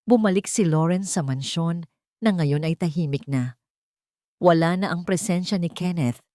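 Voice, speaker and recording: A woman with a Filipino accent narrates a dramatic audiobook story. Her voice sits fairly low, and the recording is dry and close, with a scripted storytelling delivery. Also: normal speed, dark tone, no echo.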